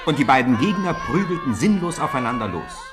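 Lively male narrator of an old radio play. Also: medium pitch, fairly quick. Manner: lively storytelling, rising with the fight. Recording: old radio play with crowd noise behind.

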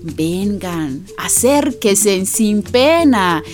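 A folksy Colombian neighbour woman, gossipy and fond. She tells her story at a slow, confiding pace, sighing as she goes, in a medium-pitched voice. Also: animated, faint music behind. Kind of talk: radio drama storytelling.

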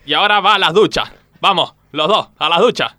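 A man's voice: high voice, fairly quick, very noisy, close to an everyday voice. A man playing a stern, fussy, mock-formal referee who mediates a childish squabble in a comic radio play. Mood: exasperated authority.

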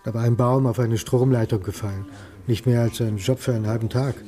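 Mellow-voiced, guarded farmhand played by a man. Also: medium pitch, steady tone, fairly quick, lightly acted. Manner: guarded, curt, reluctant. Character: reluctant, wary rural farmhand giving a tip. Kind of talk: acted dialogue in a crime radio play.

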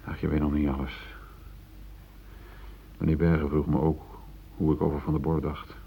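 An actor playing a troubled middle-aged factory worker, defensive and brooding, in a radio play. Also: male voice, rumbling low, level tone, normal speed, gravelly, breathy edge, heavily acted. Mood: defensive, uneasy. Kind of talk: Dutch radio play acting. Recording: dull, muffled old radio play recording.

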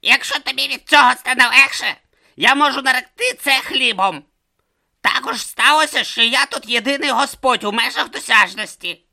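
A man's voice: extremely high pitch, moderate pace, gravelly, pushed and bright, voice pushed up, exaggerated voice. A man voicing a bossy, grumpy male god trapped as a tortoise, barking impatient orders.

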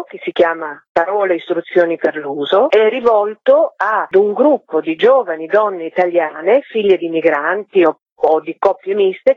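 A woman interviewed on the radio as a theatre practitioner, explaining in a measured, orderly way. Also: fairly low pitch, breathy edge, dry recording. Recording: tinny phone line on radio.

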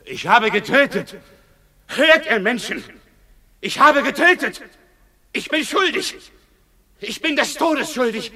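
A man's voice: extremely high pitch, very animated, slow pace, long pauses, exaggerated voice. An actor playing a frantic, guilt-ridden man, crying out in anguish in a 1960s German radio drama. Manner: declamatory, anguished shouting. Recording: long hollow reverb, vintage radio production.

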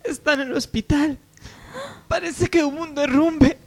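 Woman playing an anxious high-voiced soap mother. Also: fairly even intonation, a little slow, darkish tone. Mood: worried. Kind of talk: parody radio soap opera acting.

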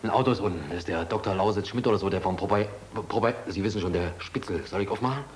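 A man's voice: on the low side, quick pace, close to an everyday voice. An actor playing a nervous, hesitant household servant in a German radio drama, stumbling over his words. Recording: echoey room, clearly noisy.

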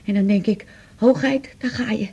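A woman's voice: mid-range voice, moderate pace, dark tone, slightly rough, highs cut off. An actress playing a confused, depressed woman patient who rambles hesitantly and pleads, in a radio drama. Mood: agitated, gloomy. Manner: rambling, hesitant, jumping between thoughts.

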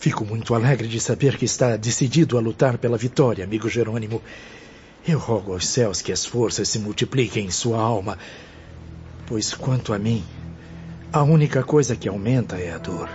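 An actor playing a despondent spirit tormented by remorse, speaking formally and earnestly in a religious radio drama. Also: male voice, on the low side, normal speed, a little mellow, a little hiss or hum, natural voice. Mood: discouraged, pained. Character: despondent male suicide spirit, fearful, remorse-tormented friend. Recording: dull lo-fi audio under music.

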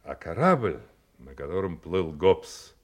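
A man's voice: low-pitched, sing-song, takes it slowly, heavily acted. A man playing a proud townsman who tells a legend in an emphatic, declamatory audio drama style. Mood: solemn storytelling. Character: proud male townsman telling a founding legend. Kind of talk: theatrical storytelling in an audio play.

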